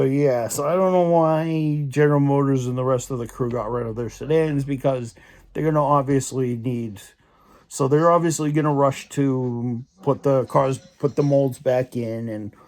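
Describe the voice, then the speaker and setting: A man's voice: medium pitch, normal speed, a little mellow. A young man in a casual opinion rant, rambling with many restarts and repeated fillers. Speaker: vlogger.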